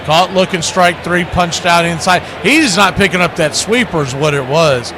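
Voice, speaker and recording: A man's voice: high-pitched, normal speed, noisy background. A man doing live baseball play-by-play, mixing clipped calls with conversational analysis. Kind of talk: live baseball radio commentary.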